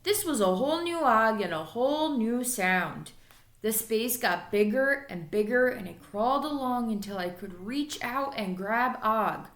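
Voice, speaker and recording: High-voiced woman reading a storybook, echoey. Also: a little slow. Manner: expressive, dramatized reading. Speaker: elementary school teacher reading aloud. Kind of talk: storybook read-aloud narration. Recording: echoey room.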